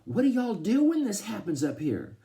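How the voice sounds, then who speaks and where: A man's voice: high-pitched, huge pitch swings, normal speed, warm tone, pitched above the performer's own voice, full character voice. A man voicing a worried, tender mother with a Southern US accent.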